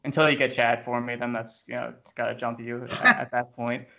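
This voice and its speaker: A man's voice: mid-range voice, little pitch movement, brisk, creaky, pushed and bright. A man hosting a podcast in casual chat, recorded on a remote call from his home kitchen. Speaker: podcast host.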